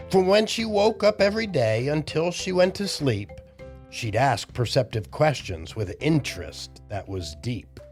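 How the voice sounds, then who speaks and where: A man's voice: mid-range voice, darkish tone. An older man, a children's entertainer hosting a storytime show in a playful, exaggerated manner. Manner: playful, exaggerated, often chuckling at own jokes. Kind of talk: animated children's show hosting and read-aloud.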